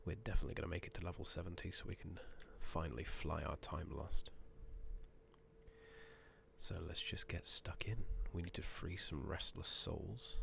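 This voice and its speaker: Male ASMR gamer, very low heavily creaky voice, hushed murmur slipping into whispers, close-miked with audible background noise. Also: normal speed. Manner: hushed, gentle, drifting between murmur and whisper. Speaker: ASMR gaming streamer. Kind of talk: soft-spoken and whispered ASMR game playthrough commentary. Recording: steady background noise, keyboard clicks.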